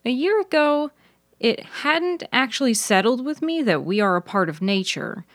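A woman's voice: a little high, very animated, normal speed, fairly bright tone, no echo, clean studio sound, pitched above the performer's own voice. A woman voicing an earnest, easily stung young woman who loves nature, in a fiction podcast. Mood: earnest, eager. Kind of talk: audio fiction character dialogue.

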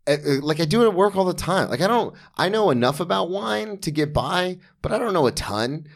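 A man's voice: high-pitched, expressive, a little fast, creaky. A man hosting a casual comedy podcast, talking in a blunt, conversational, storytelling way with some swearing.

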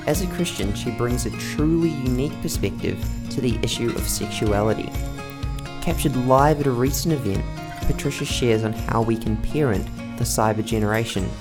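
A podcast announcer reading a scripted introduction in a slow, measured way. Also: mid-range voice. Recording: voice-over with guitar music behind. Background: plucked guitar music.

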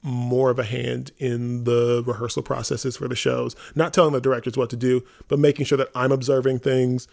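A man talking casually on a podcast as one of the hosts, informal and animated, often acting out quotes. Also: medium pitch, moderate pace, gravelly, hardly any pauses. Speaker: theater company member and podcast host.

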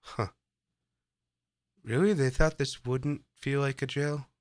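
A man's voice: mid-range voice, fairly even intonation, takes it slowly, no echo. Goofy man joking through a movie. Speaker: movie commentary co-host. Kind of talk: casual riffing movie commentary.

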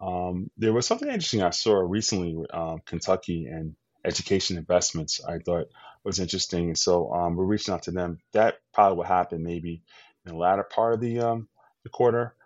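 A man's voice: deep voice, expressive, brisk, dry recording. A man co-hosting a podcast in casual chat, enthusiastic and rambling, full of fillers and restarts, from the US East Coast. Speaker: podcast co-host. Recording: dull lo-fi dry sound.

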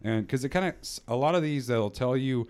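A man's voice: medium pitch, lively intonation, a little fast, darkish tone, clean tone. A man hosting a casual podcast, talking in a loose, rambling way with frequent restarts. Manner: rambling, laughs often, many restarts. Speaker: podcast host.

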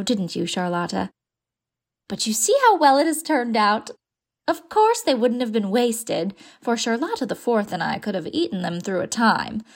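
A woman's voice: mid-range voice, vocal fry. A woman reading as a whimsical, independent lady of forty-five who loves to pretend, in a storybook. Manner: fond, playful, pretends freely. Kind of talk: audiobook character reading.

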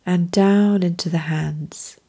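A woman guides a mindfulness meditation in a calm, soothing way. Her voice is soft-edged and mellow, sitting a little low. She speaks very slowly, letting each gentle phrase settle before the next. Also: husky.

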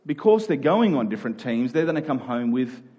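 Australian pastor, measured and deliberate. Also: male voice, mid-range voice, very animated, dark tone, slightly rough. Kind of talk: sermon with scripture reading and prayer.

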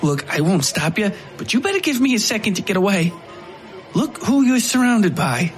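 Man acting a jittery, higher-voiced nervous guy. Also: very animated, normal speed, soft background music. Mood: nervous, wary. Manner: hesitant, jittery. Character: nervous, self-preserving man, cautious and stammering. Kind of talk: horror audio fiction character voice.

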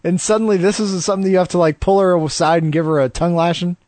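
A man's voice: high-pitched, fairly even intonation, rapid-fire, a little mellow, dry recording. A man co-hosting a podcast, giving a play-by-play recap of a wrestling match in casual chat. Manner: play-by-play recapping, laughs easily. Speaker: podcast co-host.

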